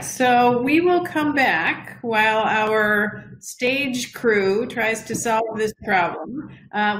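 A woman moderating a video-call panel in a brisk, welcoming way, calling on each speaker. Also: medium pitch, a bit bright, a little hiss or hum. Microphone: webcam on video call. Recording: echoey room over video call.

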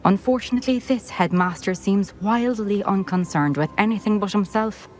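An actress playing a formal, composed former headmistress speaking from a portrait in a game. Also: female voice, medium pitch, normal speed, a little mellow, close to an everyday voice. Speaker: game character.

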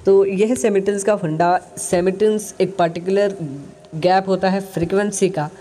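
A man with an Indian accent explaining software as a tutorial host, mixing in English phrases. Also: high voice, flat delivery, moderate pace, a little hiss or hum.

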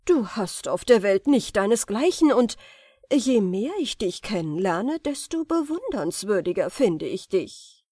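Lady doing a proud old sultan in a storybook, stately, emphatic, very lively pitch. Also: a little slow, slightly rough, dry recording, studio quality. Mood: admiring. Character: proud, admiring sultan, an exuberant older ruler. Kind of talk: fairy-tale audiobook character voice.

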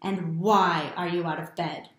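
A woman voicing a stern, bearded father who scolds loudly in a storybook reading. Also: on the low side, fairly even intonation, measured pace, close to an everyday voice. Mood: stern scolding. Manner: loud, scolding, barking. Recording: roomy echo.

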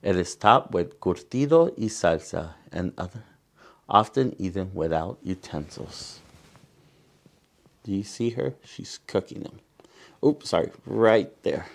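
Slow, gravelly Salvadoran man reading aloud. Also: sits low, animated. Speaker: children's storytime teacher and host. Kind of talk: reading a picture book aloud with asides. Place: indoor room.

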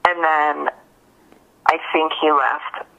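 Woman with a creaky voice on the phone. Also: low-pitched, fairly even intonation, slow, bright, forceful tone. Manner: hesitant, filler-heavy, frequent use of "like". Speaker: witness interviewed by an investigator. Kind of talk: casual recounting over a phone call. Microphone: telephone. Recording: dull, lo-fi phone call recording.

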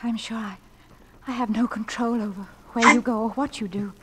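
An actress playing a proper, spirited widowed mother and author, with a British accent and crisp, prim delivery in period radio drama style. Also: on the high side, fairly even intonation, normal speed, heavy background noise, close to an everyday voice. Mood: flustered. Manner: prim, crisp, indignant turns of phrase.

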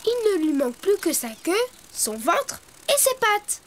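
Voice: very high-pitched, very animated, a little slow, background noise, heavily acted. An actor voicing a cheerful, helpful little rabbit boy in a children's educational cartoon, explaining things in an encouraging way. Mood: calm, instructive. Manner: encouraging, instructive. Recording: music behind the voice.

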